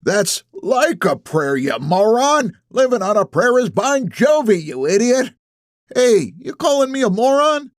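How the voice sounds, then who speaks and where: A man voicing rowdy, cranky old men in an audiobook, with a strained, high, loud and insistent delivery. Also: very animated, a little slow, no echo, studio quality, exaggerated voice. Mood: indignant. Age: older. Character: rowdy cranky old male bar patrons heckling and requesting songs. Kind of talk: acted audiobook dialogue.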